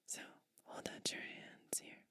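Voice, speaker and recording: A woman speaks entirely in a breathy, bright whisper, as in an ASMR roleplay. She moves slowly and attentively from phrase to phrase. She addresses the listener directly in a soothing, unhurried way. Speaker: ASMR creator playing a gentle witch.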